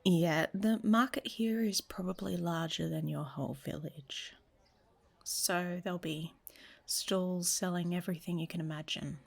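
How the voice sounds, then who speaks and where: Woman playing a husky, teasing, worldly traveler. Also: fairly low pitch, a little slow. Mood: playful bossy. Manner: teasing, often half-whispered. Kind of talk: audio roleplay addressed to the listener.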